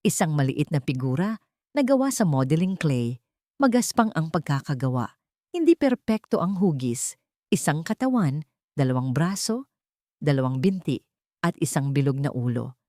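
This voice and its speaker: A woman's voice: deep voice, sing-song, moderate pace, dark tone, dry recording, studio quality. Dramatic woman storyteller with swooping intonation. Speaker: story narrator. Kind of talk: dramatic scripted audiobook-style narration of a love story.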